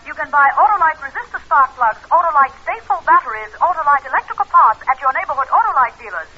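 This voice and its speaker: An American woman reads a scripted vintage radio advert. She speaks rapidly in a high voice with a bouncy range of pitch. The old recording is dull. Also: lively intonation, background noise. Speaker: commercial announcer.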